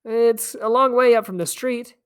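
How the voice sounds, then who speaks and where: A woman's voice: on the high side, moderate pace, clear, resonant tone, pitched above the performer's own voice, close to an everyday voice. A woman voicing a curious, wary young boy in a storybook, questioning and earnest.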